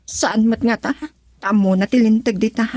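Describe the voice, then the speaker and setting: A woman's voice: on the high side, steady tone, normal speed, a little mellow, natural voice. A woman playing a tender, anxious young pregnant wife in an Ilocano radio drama, with a Filipino accent. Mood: worried, pleading.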